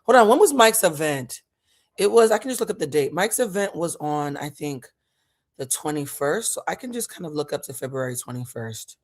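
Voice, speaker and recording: A vlog host in their forties with a husky voice, chatting casually and impromptu, talking frankly and swearing freely. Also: mid-range voice, lively intonation, moderate pace.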